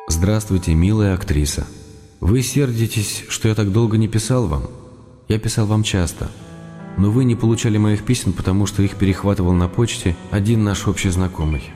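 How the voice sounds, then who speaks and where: Radio drama: man as gently affectionate, wry writer in exile; deep-set warm voice, measured letter reading over music. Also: close to an everyday voice. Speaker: actor in a radio play. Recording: radio broadcast with music behind.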